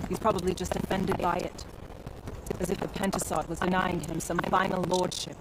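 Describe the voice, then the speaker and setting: A woman's voice: lowish voice, little pitch movement, normal speed, very noisy, close to an everyday voice. A woman playing a weary, sardonic young fighter in a video game, naturalistic, dry and earnest in delivery. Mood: dry, wry.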